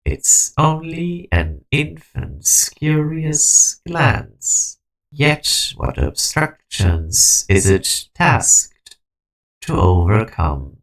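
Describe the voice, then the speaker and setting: A man playing an ancient, weary guardian being, solemn and resolute, in a fan-dubbed game story with a grave, halting delivery. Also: fairly high pitch, sing-song, exaggerated voice, poor audio. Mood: solemn, resigned. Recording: background music.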